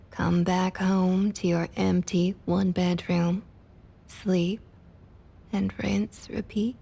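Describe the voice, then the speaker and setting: A woman acting as a smug female vampire of high rank speaks in a Southern US drawl for an intimate ASMR roleplay. Her voice is mellow and husky, often breathy, with gravelly fry at the edges. She coaxes and condescends without any hurry. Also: exaggerated voice. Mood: persuasive, condescending. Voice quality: soft, breathy, slightly husky. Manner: unhurried, coaxing, condescending sweet talk.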